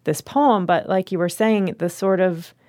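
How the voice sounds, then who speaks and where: A woman talking as a literary editor and host, discussing writing in a measured, analytical way. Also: on the low side, mellow, no echo, studio quality. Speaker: magazine editor and podcast host.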